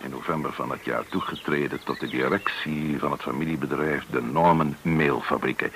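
An actor playing a courteous, evasive security service colonel, official in manner in a radio play. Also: male voice, sits low, fairly bright tone. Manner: smooth-talking, official, politely evasive. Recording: noisy old radio play recording.

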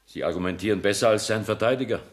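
An actor playing a composed middle-aged male judge who speaks in a formal, measured, official way, in a radio play. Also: on the low side, close to an everyday voice. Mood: reasoning. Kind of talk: 1970s German radio play acting.

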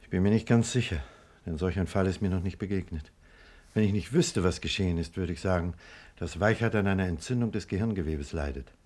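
An actor playing a grave, cautious physician in a 1950s radio drama, speaking in measured, deliberate words. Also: male voice, sits low, darkish tone. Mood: grave, concerned. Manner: measured, deliberate, careful wording. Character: grave, cautious male physician narrating a disaster. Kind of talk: 1950s German radio drama acting and narration. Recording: 1950s radio play with music.

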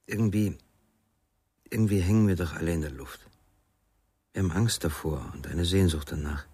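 An actor playing a weary, evasive father in a radio crime drama, measured, cryptic and laconic. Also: sits low, a little mellow, slightly rough. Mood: resigned. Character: enigmatic, weary, evasive father searching for his son.

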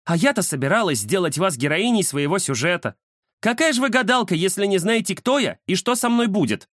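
A man's voice: high voice, expressive, a little fast, fairly bright tone, no echo, studio quality, pitched above the performer's own voice. A man voicing a vain, touchy woman journalist, huffy and self-important, in a children's story. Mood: indignant.

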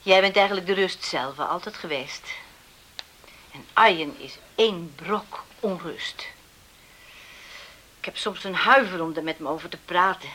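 Radio play role: anxious middle-aged farm widow, lowish female voice with a bright, forceful edge, earnest and confiding. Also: unhurried, long pauses. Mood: worried, confiding. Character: worried widowed farm mother dreaming of settled married sons. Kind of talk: Dutch radio drama acting.

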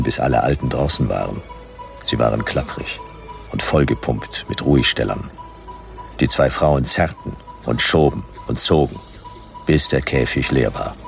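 A laconic noir detective, tells his own case in a radio drama. The voice is extremely low and full of gravel, with short, dry, deadpan phrases. Faint music runs underneath. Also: exaggerated voice. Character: hard-boiled laconic male detective narrating his own story. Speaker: narrator. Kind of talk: noir radio drama narration, clipped sentences.

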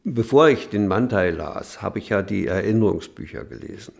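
A man being interviewed, reminiscing spontaneously in halting, circling sentences with small tag questions. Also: fairly low pitch, lively intonation, vocal fry.

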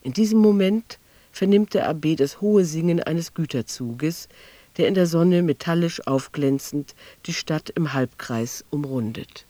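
A man's voice: fairly high pitch, measured pace, dark tone, dry recording, lightly acted. A man performing as a sober, precise narrator in a literary radio play. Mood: sober, observant.

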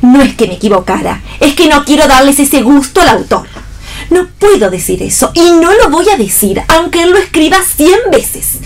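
Woman acting a high-voiced defiant young heiress. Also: big pitch swings, moderate pace. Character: defiant, idealistic rich young woman, yearning for happiness. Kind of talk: staged play reading for radio.

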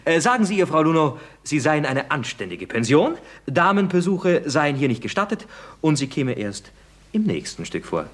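An actor playing a witty, cheeky young actor in a theatrical, ironic comedy radio play style of the 1960s. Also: male voice, fairly high pitch, big pitch swings, moderate pace. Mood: brisk, wry.

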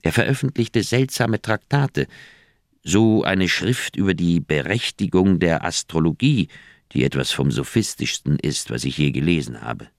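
An uneasy older doctor in a radio crime drama. His low voice swings expressively through the phrases, with a creaky edge. He tells things deliberately and reflectively, in a dry, close recording. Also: animated, dry recording. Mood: pensive recounting. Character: troubled older male Swiss surgeon, reflective and uneasy.

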